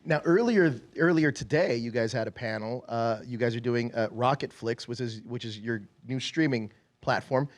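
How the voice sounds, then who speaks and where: A man's voice: mid-range voice, moderate pace, few pauses. A man conducting a casual interview, asking questions in a conversational way.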